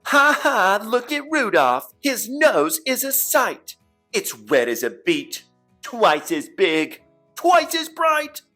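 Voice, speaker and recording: A man voicing a mocking young reindeer in a children's storybook, with drawn-out, exaggerated taunts. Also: sits high, big pitch swings, bright, forceful tone, soft background music, pitched above the performer's own voice, heavily acted. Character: jeering young reindeer taunting another, sing-song mockery.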